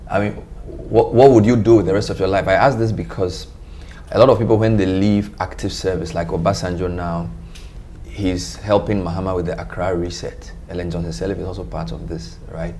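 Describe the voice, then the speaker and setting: Ghanaian male interviewer, fairly low voice. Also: steady tone, fairly quick, mellow, a little hiss or hum. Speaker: TV interview host. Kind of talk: conversational broadcast interview.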